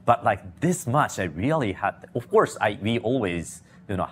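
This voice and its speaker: A man with a very deep voice answers as an interview guest. He searches for words in English and leans on you know and like. A faint noise hums behind him. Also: sing-song. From Japan. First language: Japanese. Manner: halting, many you knows and likes. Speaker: actor guest. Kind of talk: casual interview talk.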